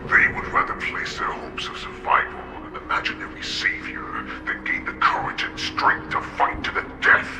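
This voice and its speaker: A man playing an ancient wolf-like warlord in a video game, proud and menacing, with a growling voice and slow, grandiose, breathy pronouncements. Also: close to an everyday voice. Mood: proud, defiant. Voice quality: very deep, gravelly growl. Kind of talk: dramatic video game villain acting. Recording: roomy reverb with music behind.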